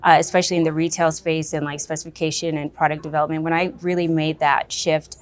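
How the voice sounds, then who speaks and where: A woman speaks as a podcast guest, reflective and pausing to find her words. Her voice sits slightly low. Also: flat delivery. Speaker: podcast guest, trade show staff. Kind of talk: casual interview chat.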